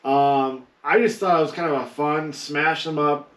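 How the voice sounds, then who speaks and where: A man's voice: a little high, steady tone, moderate pace. A man chatting casually as a panelist on a group podcast. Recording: roomy echo, distant mic.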